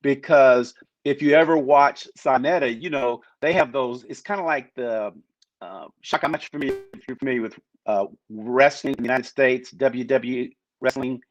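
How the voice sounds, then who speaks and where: A man's voice: mid-range voice, moderate pace, dry recording. Rambling male panelist, muffled sound. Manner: rambling, many restarts and hedges. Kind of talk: casual chat.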